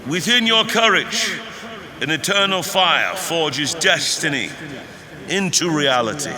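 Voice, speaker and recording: A man's voice: on the high side, expressive, takes it slowly, crowd noise. A man playing a grandiose, solemn leader delivering stately, zealous oratory in a theatrical scripted speech. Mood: rousing, fervent. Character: grandiose male leader rallying a nation with solemn zeal. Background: music.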